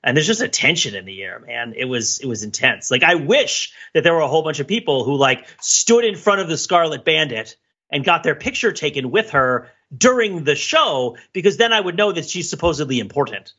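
A man in middle age hosts a casual podcast with a very bright, forward voice that has a husky edge. He tells stories in an animated, conversational way. The recording is slightly dull and lo-fi. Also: a little high, fairly quick. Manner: animated storyteller, acts out imagined dialogue, laughs while talking. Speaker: podcast host.

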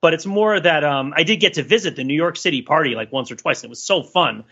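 A man's voice: a little high, quick pace, pushed and bright, breathy edge, lo-fi sound. A man talking casually as a podcast co-host, discursive and jokey, wandering through asides.

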